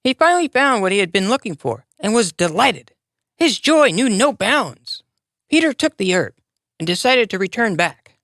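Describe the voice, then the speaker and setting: Lively, close-up children's storyteller. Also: medium pitch, moderate pace, dry recording. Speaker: storyteller, narrator. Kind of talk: scripted children's moral story narration.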